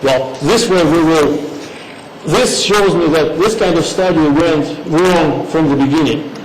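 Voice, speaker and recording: A middle-aged man speaking at public comment in a township meeting, halting and earnest, English. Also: fairly high pitch. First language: not English. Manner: halting, earnest, with frequent pauses and fillers. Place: large meeting hall. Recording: echoey hall recording, faint hum.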